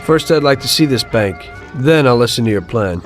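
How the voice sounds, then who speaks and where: An actor playing a wary, tough 1930s gangster in a video game, talking tersely and dryly with music behind him. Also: male voice, medium pitch, expressive, normal speed, close to an everyday voice. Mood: resolved.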